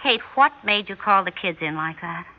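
An American actress playing a chatty, excitable suburban neighbor in an old-time radio drama, brisk and emphatic. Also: female voice, medium pitch, slight background noise, natural voice. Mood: startled. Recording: thin, narrow-band vintage radio recording.

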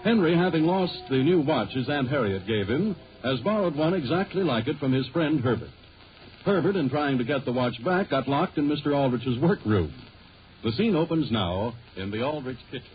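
A man's voice: medium pitch, expressive, normal speed. Old-time radio announcer man, muffled, over music. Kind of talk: 1940s radio scripted narration.

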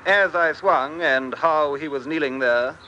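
Bright-voiced, brawny, ruthless henchman. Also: a little high, measured pace, dull audio. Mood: cold, resolute. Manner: archaic, folksy turns of phrase. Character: brawny, ruthless sporting companion of a gentleman. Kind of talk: old-time radio drama acting. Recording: faintly noisy old radio recording.

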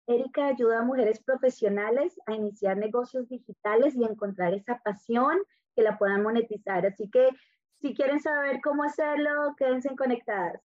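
Female interview host, higher-pitched voice, conversational delivery, thin phone-line audio. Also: normal speed, a little mellow.

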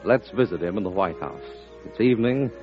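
A man's voice: lowish voice, expressive, a little fast. A man with an American accent introducing a 1940s radio show as a formal, dramatic announcer. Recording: muffled vintage radio recording. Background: loud-ish music.

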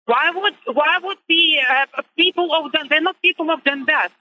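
A middle-aged woman with a Ukrainian accent calling in to argue, emphatic and halting, with non-native grammar. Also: high-pitched, sharp tone. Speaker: caller. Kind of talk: impassioned argument on a call-in. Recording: dull lo-fi call-in line.

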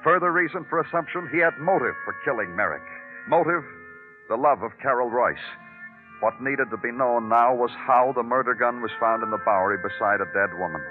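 A man's voice: medium pitch, a little fast, music in the background, close to an everyday voice. Man playing a terse hard-boiled detective, tinny vintage radio. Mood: methodical, brooding. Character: dry, dogged male police detective narrating his own case. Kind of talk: old-time radio detective drama acting. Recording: tinny old radio recording.

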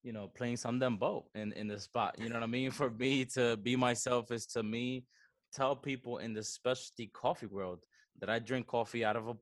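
A man's voice: mid-range voice, steady tone, moderate pace, dry recording, studio quality. A man in his twenties with a Bronx New York accent, chatting casually as an interview guest, slangy and dropping in Spanish words. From the Dominican Republic and the Bronx, New York. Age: 20s. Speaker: podcast guest, coffee entrepreneur.

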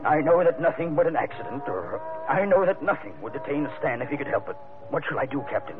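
A man's voice: a little high, very animated, fast talker, narrow, tinny audio. An actor playing an anxious, polite middle-aged American father in an earnest, formal 1940s radio drama style. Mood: distraught, pleading. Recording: thin vintage radio broadcast with music.

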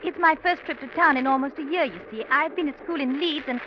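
A woman's voice: high-pitched, quick pace, close to an everyday voice. An actress playing a polite, chatty young British woman in an old-time radio drama. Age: young adult. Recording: muffled, noisy old radio recording.

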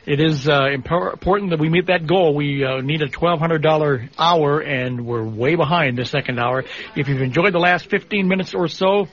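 A man's voice: on the high side, normal speed. A man hosting a live radio pledge drive, appealing to listeners to phone in. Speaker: radio fundraising host. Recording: dull radio broadcast sound.

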